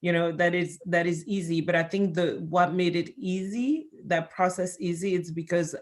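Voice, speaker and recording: A woman's voice: fairly low pitch, steady tone, normal speed. Middle-aged woman guest, born in Congo and raised in Belgium, chatty with many fillers. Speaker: interview guest. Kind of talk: casual interview answers.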